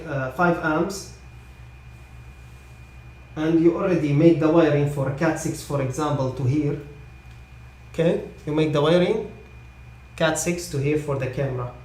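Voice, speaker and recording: A man's voice: fairly high pitch, a little mellow. Guy w non-native english doing online tech training, repeats stuff, reassuring, bit far from the mic, echoey. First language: not English. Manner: repeats points, often checks with "okay?". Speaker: technical trainer. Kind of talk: live online product training. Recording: echoey room, distant microphone.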